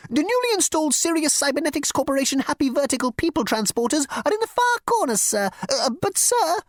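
A woman's voice: high-pitched, big pitch swings, brisk, no echo, studio quality, voice pushed up. A woman voicing a petulant, haughty insect receptionist with tentacles and wings. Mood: flustered. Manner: icy, haughty, flouncing.

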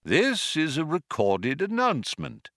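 A man's voice: fairly high pitch, wildly swooping pitch, fairly bright tone, no room sound, studio quality, pitched above the performer's own voice, heavily acted. Old ceremonial announcer played by a male narrator: hollow, reedy, exquisitely polite with underlying threat, for sci-fi audiobook. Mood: courteous, menacing. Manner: slow, formal, politely sinister. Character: old, courteous, menacing male announcer of a dead planet.

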